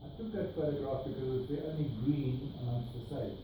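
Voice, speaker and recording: A man's voice: medium pitch, brisk. A middle-aged man with a South African accent, presenting slides to a workshop group in a meeting room and pointing out details as he explains. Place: meeting room with projector screen. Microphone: far camera, no mic. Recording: distant echoey room with noise.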